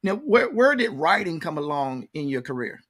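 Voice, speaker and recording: A man's voice: fairly high pitch, big pitch swings, normal speed, fairly bright tone. An American man hosting a casual, conversational interview in a folksy way, often repeating his phrases. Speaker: interview host.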